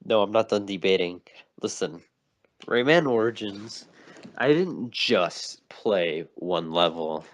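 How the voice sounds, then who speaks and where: Argumentative young man, lowish voice. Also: animated, slow. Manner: argumentative, insistent, keeps saying "listen". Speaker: podcast co-host. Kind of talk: casual chat. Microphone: remote call mic.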